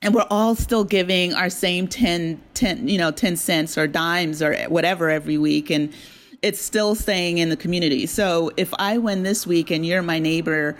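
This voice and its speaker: A woman's voice: fairly low pitch, moderate pace. A woman speaking as an interview guest, conversational, earnest and explanatory. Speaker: interview guest, audio drama writer.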